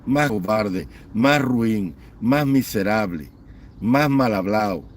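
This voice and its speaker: A man's voice: lowish voice, expressive, slow pace, a little hiss or hum. Bitter man ranting on a livestream. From Cuba. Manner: bitter, scornful, emphatic lists. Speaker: livestream host. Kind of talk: livestream chat, reading viewer comments and ranting. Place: home in Houston, Texas.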